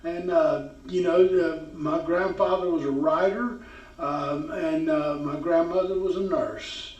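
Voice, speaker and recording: Southern male host, 50s, high voice, rambling folksy talk, heard from a distance. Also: steady tone, a little slow, darkish tone. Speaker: podcast host. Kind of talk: casual talk show chat. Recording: roomy, distant, dull sound.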